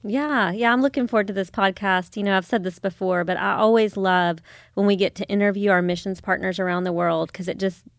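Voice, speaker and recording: A woman's voice: mid-range voice, fairly even intonation, a little fast, creaky, dry recording. Upbeat woman co-hosting, dry sound. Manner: upbeat, earnest, with fillers. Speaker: church podcast co-host. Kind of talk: casual interview chat.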